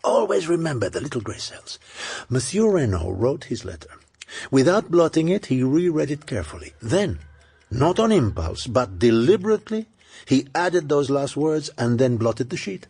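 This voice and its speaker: An actor playing a proud, fastidious foreign detective with a French accent and precise, formal phrasing in a radio drama. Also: male voice, mid-range voice, very animated, darkish tone, slightly rough. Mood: instructive.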